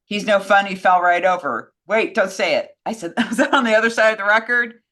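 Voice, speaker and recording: A woman's voice: mid-range voice, brisk, bright, forceful tone. A woman co-hosting a show, reading out fan questions and chatting casually about them.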